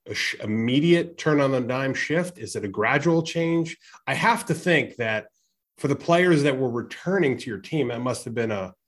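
A male host interviewing a guest, with long, rambling setups before each question. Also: medium pitch.

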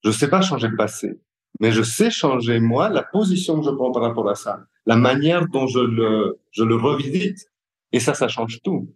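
A man's voice: mid-range voice, expressive, moderate pace. A man with a Belgian French accent reflecting in an interview as a care worker, explaining hesitantly and searching for his words.

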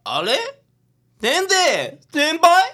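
Radio drama comic part, male actor: guileless, excitable young man, forceful tone, very animated pitch. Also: extremely slow, heavily acted. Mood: puzzled.

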